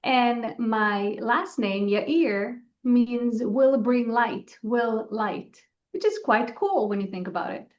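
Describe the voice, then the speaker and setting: A woman's voice: a little high, slow pace, hardly any pauses. Woman explaining, dull lo-fi audio. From Israel. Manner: explanatory, earnest. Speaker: guest, spiritual channeler. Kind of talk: interview answers.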